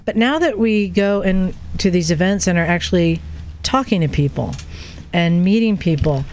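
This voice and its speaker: A woman's voice: on the low side, animated, normal speed, a little mellow, a little hiss or hum. A woman hosting a casual podcast chat, asking questions and telling things in a conversational, storytelling way. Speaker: podcast host. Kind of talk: casual chat interviewing a caller.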